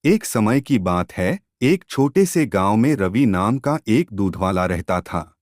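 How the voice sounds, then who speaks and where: A man narrating a scripted moral story in a measured, instructive way. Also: lowish voice, sing-song, a little mellow, dry recording, clean studio sound.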